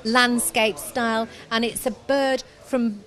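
A middle-aged woman hosts a live broadcast with a fairly high voice. She talks in a breezy, chatty way, throwing in friendly remarks, close on a handheld microphone in a busy pub. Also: fairly even intonation, takes it slowly, fairly bright tone. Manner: breezy, chatty, compliments the guest. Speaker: radio presenter. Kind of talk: live radio interview. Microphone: handheld mic.

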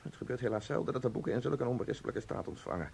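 A man's voice: mid-range voice, animated, racing, gravelly, a little mellow, slight background noise. A man acting in a radio play as an obsessive, aggrieved broadcaster and estranged husband, speaking with insistent, indignant emphasis. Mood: bitterly reading aloud. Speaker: actor.

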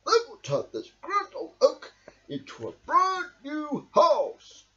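A man's voice: extremely high pitch, huge pitch swings, takes it slowly, pushed and bright, muffled recording, voice pushed up, heavily acted. A young male voicing a cheerful, kindly storybook badger in an exclamatory, sing-song way. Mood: hearty.

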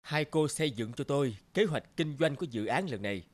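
A man voicing a stout, stern middle-aged company boss in an animated cartoon, speaking in a measured, lecturing way. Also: mid-range voice, natural voice. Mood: firm. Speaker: voice actor. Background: background music.

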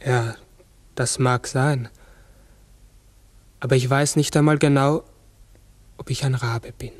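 Forgetful raven, pensive, halting, slow-paced, medium pitch, 1960s radio drama. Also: male voice, steady tone, no room sound. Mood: pensive, uncertain. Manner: halting, brooding, self-correcting. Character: human-sized talking raven, pensive, uncertain, forgetful.

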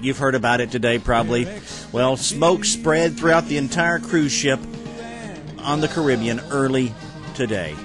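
A middle-aged man hosting an amateur news show, reading stories casually with sarcastic comic asides. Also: mid-range voice. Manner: sarcastic, wry. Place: small home room at a desk. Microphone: desk microphone close in front.

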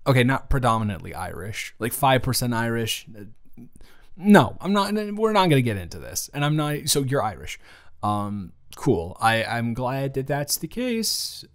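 A man's voice: medium pitch, big pitch swings, normal speed. A man improvising a romantic audio roleplay as an awkward, teasing young man talking to his best friend, with a hesitant, stammering delivery. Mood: playful, defensive. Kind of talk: improvised romantic audio roleplay, intimate one-sided conversation.

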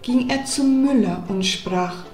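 A woman narrating a scripted children's fairy tale deliberately, pausing between phrases. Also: fairly high pitch, a little mellow, echoey room, soft background music. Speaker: storyteller.

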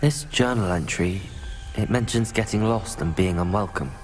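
A man voices a persuasive young wizard student in a fantasy game. The voice is on the low side, composed and polite. Music plays underneath. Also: moderate pace, close to an everyday voice. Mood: pensive. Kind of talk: dramatic game dialogue.

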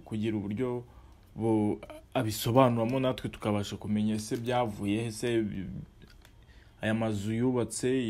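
A man in his thirties speaks to the camera while standing outdoors, his voice sitting a little low. He talks in a measured, deliberate way, often pausing and restarting his sentences as he thinks. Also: darkish tone. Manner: measured, with frequent hesitations and restarts. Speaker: online channel presenter. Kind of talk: commentary to camera.

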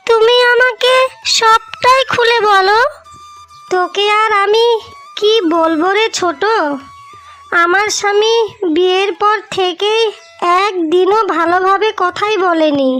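A woman's voice: very high voice, bright, forceful tone, exaggerated voice. A woman voicing an earnest, sorrowful young married village sister in a cartoon story, with a pleading delivery. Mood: sorrowful, resigned.

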